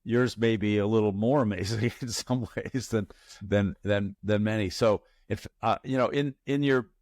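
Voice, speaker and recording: Stammering male podcast host, low voice. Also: normal speed, darkish tone, no echo. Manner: stammering, repeats words. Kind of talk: casual interview chat.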